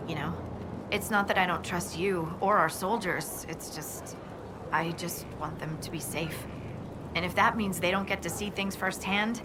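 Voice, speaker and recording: A woman's voice: on the low side, close to an everyday voice. An actress playing a friendly young dwarf woman, a wry and candid scout, in natural video game dialogue. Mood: tender, earnest. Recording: clear background ambient noise.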